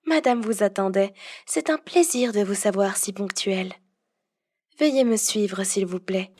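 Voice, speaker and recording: A woman playing a strict, dutiful head housekeeper in a French audio roleplay, speaking in a prim, formal, measured way. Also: mid-range voice, a little mellow, lightly acted.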